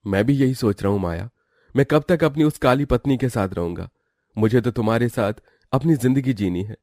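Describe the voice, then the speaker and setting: A man's voice: medium pitch, brisk, a little mellow, no room sound, clean studio sound, natural voice. A man voicing a callous, selfish young husband with an emphatic, self-pitying manner in a cartoon story. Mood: determined.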